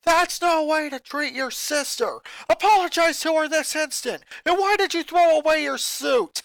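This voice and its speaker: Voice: sits high, normal speed, no room sound, voice pushed up, poor audio. A reader playing a stern scolding father. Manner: loud, commanding. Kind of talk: fan fiction reading.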